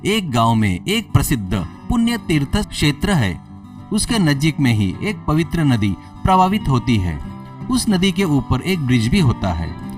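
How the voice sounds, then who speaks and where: Guy reading a moral story, pitch moves around a lot, music underneath, bit distant. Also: sing-song, a little slow. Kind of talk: scripted narration of a moral story.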